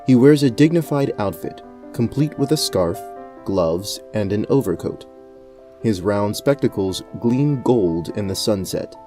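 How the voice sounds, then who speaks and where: A man's voice: fairly low pitch, animated, a little slow, over music. A man giving scripted narration for a fantasy audio drama. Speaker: narrator and game storyteller.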